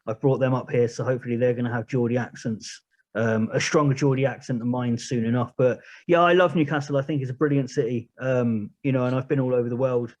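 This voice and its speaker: A man's voice: mid-range voice, steady tone, quick pace, a little mellow. A man in his thirties with a southern English accent, talking candidly and at length as a guest in a casual interview. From England. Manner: candid, plain-spoken, rambling. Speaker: podcast guest, charity project manager. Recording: muffled lo-fi recording.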